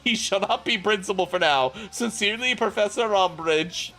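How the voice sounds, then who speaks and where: A man's voice: high-pitched, normal speed, sharp tone, faint music behind, pitched above the performer's own voice. A man voicing a pompous, declamatory school official in a comic storybook reading. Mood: officious.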